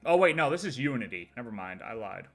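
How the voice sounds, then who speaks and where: A man's voice: medium pitch, big pitch swings, fast talker, a bit bright, soft background music. A man chatting casually as a livestreamer, slangy and rambling, repeating phrases for emphasis as he speculates. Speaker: livestreamer reacting to game lore. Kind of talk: casual livestream chat, speculating about game story.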